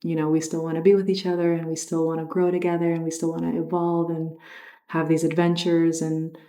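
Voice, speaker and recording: Female vlogger, native Spanish speaker, tired and mellow, fairly flat delivery, casual thoughtful chat. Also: low voice, a little fast. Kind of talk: casual reflective chat to camera.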